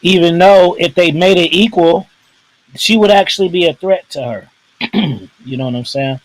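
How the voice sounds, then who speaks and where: A man co-hosting a casual wrestling chat, rambling and halting in a laid-back way. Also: high-pitched, no echo, poor audio. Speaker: podcast co-host.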